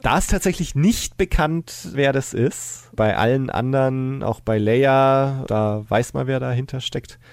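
A man's voice: mid-range voice, a little slow, few pauses, a little mellow, clear, resonant tone, dry recording, soft background music. A man chatting casually as a podcast host. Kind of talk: casual discussion.

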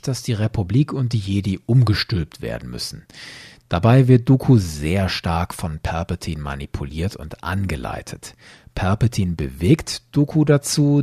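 A man's voice: fairly low pitch, mellow, breathy edge, no echo, studio quality. A man hosting a podcast, delivering scripted commentary in an opinionated, emphatic way. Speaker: podcast host.

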